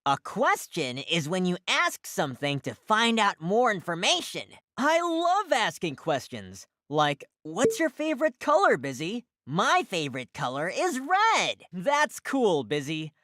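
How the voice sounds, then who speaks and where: A woman's voice: mid-range voice, wildly swooping pitch, measured pace, few pauses, fairly bright tone, dry recording, studio quality. A woman voicing a cheerful, friendly host of a preschool learning cartoon, asking simple questions in a sing-song, teacherly way. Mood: cheerful, curious. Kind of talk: children's educational cartoon dialogue.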